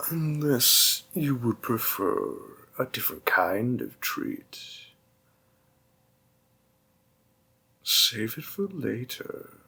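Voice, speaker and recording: A gravelly demon boyfriend, played by a man in an intimate audio roleplay. He speaks extremely slowly, with a creaky, breathy edge and long pauses. He is doting and protective, gently coaxing the one he loves. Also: mid-range voice, full character voice. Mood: affectionate, teasing. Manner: slow, deliberate, hushed, with lingering pauses. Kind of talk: slow, intimate roleplay audio monologue to the listener.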